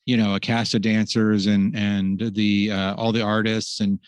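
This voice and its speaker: A man's voice: fairly low pitch, little pitch movement, moderate pace, smooth, no room sound. A man hosting a casual webinar, rambling with many fillers and restarts. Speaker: host.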